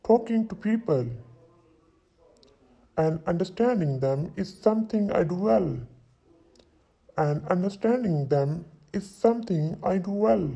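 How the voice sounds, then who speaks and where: A man in his twenties voicing a young London solicitor who introduces himself, saying each sentence twice slowly for learners to repeat. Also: sits high, vocal fry, warm tone, exaggerated voice, poor audio. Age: 20s. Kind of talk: slow repeat-after-me drill reading.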